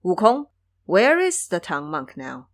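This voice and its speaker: A woman playing an authoritative female bodhisattva, composed and formal, admonishing in a measured way. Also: lowish voice, huge pitch swings, exaggerated voice. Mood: calm. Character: serene, authoritative female bodhisattva, sternly maternal.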